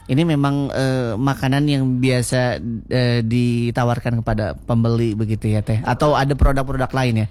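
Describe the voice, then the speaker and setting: A woman with a deep, low voice hosts a relaxed studio chat. Her carries a light lilt. She talks in a chatty way, full of fillers and backchannels. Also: normal speed. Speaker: radio host. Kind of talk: casual studio interview. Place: radio studio.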